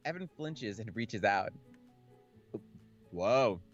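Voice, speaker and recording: Male let's play host, jokey and expressive, reading a visual novel over music. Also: fairly low pitch, slow pace, dull audio. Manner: slangy, jokey, reacting aloud. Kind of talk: casual playthrough reading and commentary. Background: background game music.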